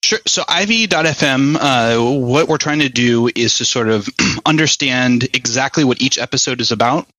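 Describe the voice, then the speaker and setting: A man who co-founded a company, appearing as a guest on a podcast and explaining his product in a friendly, measured way. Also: mid-range voice, pushed and bright, no echo, rough recording. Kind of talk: casual interview.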